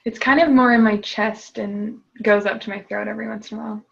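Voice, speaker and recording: A woman's voice: mid-range voice, steady tone, brisk, vocal fry, lo-fi sound. A woman client repeating tapping phrases after her coach and hesitantly describing her nervousness. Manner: hesitant, searches for words.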